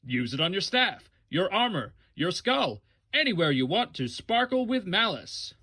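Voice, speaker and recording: A man's voice: high-pitched, sing-song, measured pace, pushed and bright, exaggerated voice. A man voicing a gleefully evil skeletal overlord who boasts theatrically in a parody radio advert. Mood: gloating menace.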